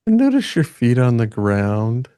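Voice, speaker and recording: A man leading a guided meditation in a gentle manner, speaking in halting phrases with long pauses between them. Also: mid-range voice, wildly swooping pitch, clear, resonant tone, no echo, studio quality.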